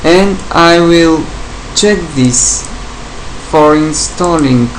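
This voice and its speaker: A man from Bulgaria with a Bulgarian accent, English not his first language, giving a software tutorial in short, plain step-by-step instructions. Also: on the high side, drawn-out words, slight background noise, poor audio. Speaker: tutorial presenter. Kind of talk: screen-recorded software tutorial.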